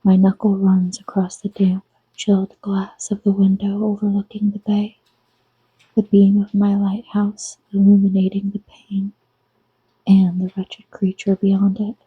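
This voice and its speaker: A woman reading her own novel aloud in a soft-spoken ASMR style, slow and intimate, slipping into whispers. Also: mid-range voice, monotone, slightly rough, no room sound. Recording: dull lo-fi sound, close and dry.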